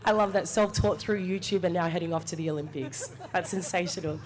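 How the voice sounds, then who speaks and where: A woman's voice: fairly low pitch, fairly quick, a little hiss or hum. A woman hosting a live event and interviewing guests on stage in an upbeat, encouraging manner. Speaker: event host interviewing athletes.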